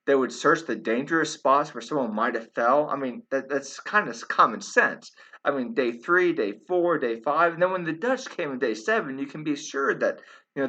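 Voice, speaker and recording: A man with a slightly high voice that swings widely in pitch argues his points informally to his audience. He is emphatic and circles back to repeat himself. The sound is somewhat muffled and lo-fi. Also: a bit bright. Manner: emphatic and repetitive, addressing listeners as friends. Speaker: host of a true-crime commentary video. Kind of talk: informal, argumentative commentary.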